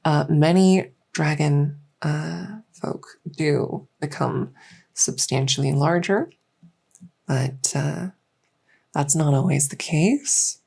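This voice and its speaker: A woman playing a small, encouraging insect-folk clinician in an ASMR medical roleplay, soft-spoken, hesitant and matter-of-fact. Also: sits low, slow, creaky, husky, heavily acted. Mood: reassuring, explanatory.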